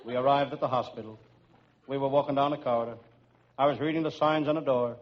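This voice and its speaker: An actor playing a wisecracking hard-boiled private detective in an old-time radio comedy, with dry, deadpan delivery. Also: male voice, medium pitch, quick pace, loud music behind the voice, lightly acted. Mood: breezy. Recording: muffled, noisy old radio recording.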